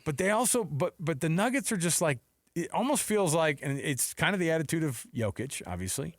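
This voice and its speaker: A man co-hosting a sports radio show with teasing, excitable, conversational banter. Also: fairly high pitch, a little fast, dry recording, studio quality.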